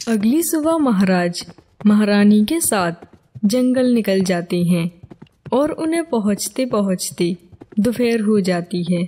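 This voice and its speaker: Slow, mellow woman storyteller. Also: medium pitch, lively intonation. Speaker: narrator. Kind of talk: scripted storybook narration.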